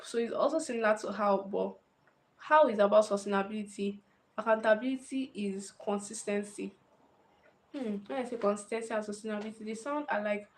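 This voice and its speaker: A woman, talking casually to camera, thinking aloud and often repeating phrases. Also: medium pitch. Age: 20s. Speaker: vlogger.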